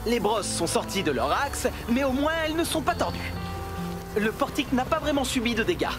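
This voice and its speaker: A man playing a cheerful, reassuring builder in a French-dubbed children's cartoon, expressive and encouraging. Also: very high-pitched, fairly quick. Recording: loud music bed behind.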